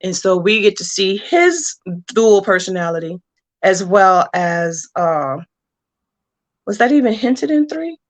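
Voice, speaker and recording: A woman's voice: medium pitch, big pitch swings, slow pace. A woman speaking casually as an interview guest, explaining plans for a story as a comic book writer.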